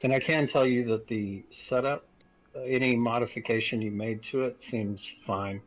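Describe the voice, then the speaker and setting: Male racing-game teammate, medium pitch, casual conversational delivery on voice chat. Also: steady tone, normal speed, rough recording.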